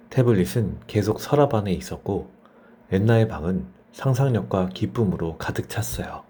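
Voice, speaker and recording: A man's voice: lowish voice, warm tone, slight background noise. A man narrating a bedtime story for children as a storyteller.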